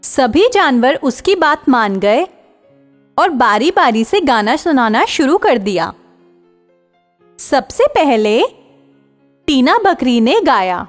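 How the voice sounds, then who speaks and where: A woman narrating a children's cartoon story in an expressive storytelling manner. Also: sits high, takes it slowly, pauses often, long reverb tail, soft background music.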